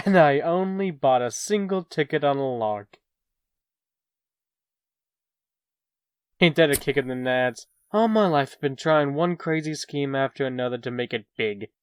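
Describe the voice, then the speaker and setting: Male actor as brash young trickster, smooth clear voice, dry close sound, mocking, slangy, comic dub. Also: a little high, no room sound, close to an everyday voice. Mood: gleeful.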